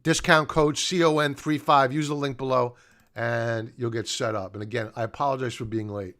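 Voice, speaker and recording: A man's voice: medium pitch, steady tone, moderate pace, a bit bright. Middle-aged man hosting a live stream. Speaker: live stream host, stock market analyst. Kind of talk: unscripted live commentary, chatting to viewers.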